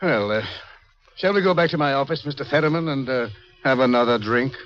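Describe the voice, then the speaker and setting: A man playing a grim, theatrical scientist in a 1950s radio drama, speaking deliberately with dramatic, hesitant pauses. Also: mid-range voice, a bit bright, close to an everyday voice. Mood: ominous, intense. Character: grim, theatrical scientist doctor foretelling doom. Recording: dull, lo-fi 1950s radio recording.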